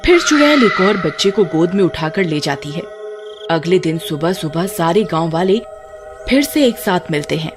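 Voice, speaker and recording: Woman storyteller with lively narration. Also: lowish voice, normal speed, music in the background. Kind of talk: scripted Hindi story narration.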